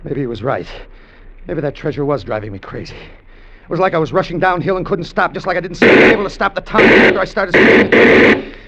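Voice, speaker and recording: An actor playing a scheming sailor gone treasure-mad, in a tense, hard-boiled confessional old-time radio style. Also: male voice, a little high, brisk, heavy background noise, lightly acted. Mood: desperate. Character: Danny, scheming treasure-mad sailor turned gunman, confessing his crime. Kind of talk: old-time radio drama acting with first-person narration. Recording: vintage radio broadcast with music.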